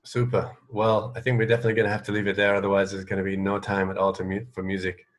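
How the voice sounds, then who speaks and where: A man with a fairly low voice hosts an informal radio chat. He phrases things loosely and hesitantly, with a modest, self-effacing manner. The delivery is conversational throughout. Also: completely flat delivery, very fast. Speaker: radio show host, interviewer. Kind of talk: casual, informal radio interviewing.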